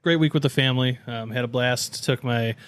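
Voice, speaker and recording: A man's voice: medium pitch, brisk, a bit bright, smooth, no echo. Laid-back American man, close dry sound. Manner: laid-back, slangy, profane. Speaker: podcast co-host. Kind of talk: casual chat.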